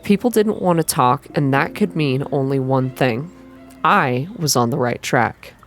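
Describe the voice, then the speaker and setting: A young adult reading a visual novel aloud in a soft ASMR style, close to the microphone, with informal asides between passages. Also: mid-range voice, a little slow, faint music behind. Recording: clear background noise.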